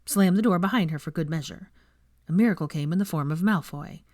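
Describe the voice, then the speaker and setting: A woman's voice: lowish voice, a little fast, darkish tone, no room sound, studio quality. A woman reading scripted story narration as an audiobook narrator. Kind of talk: scripted narration of fan fiction.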